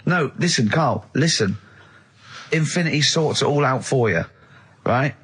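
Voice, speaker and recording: A man's voice: medium pitch, animated, unhurried, a bit bright, breathy edge. A man co-hosting a radio show, arguing casually and emphatically, interrupting and repeating himself in exasperation. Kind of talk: casual argumentative radio banter.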